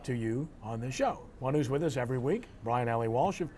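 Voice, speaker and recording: A man's voice: mid-range voice, lively intonation, a little fast, a little mellow. A man hosting a local sports talk show in a conversational presenting style.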